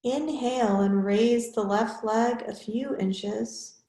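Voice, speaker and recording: A woman yoga teacher leading a guided relaxation in measured, instructional short phrases with pauses between them. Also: on the high side, dark tone, roomy sound.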